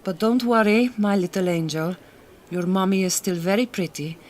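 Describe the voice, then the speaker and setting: A woman's voice: medium pitch, moderate pace, darkish tone, slight background noise, lightly acted. A woman playing a resolute, tender Hungarian migrant worker in a radio drama, with a Hungarian accent and careful, formal phrasing. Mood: tender, bittersweet. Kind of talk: naturalistic radio drama acting.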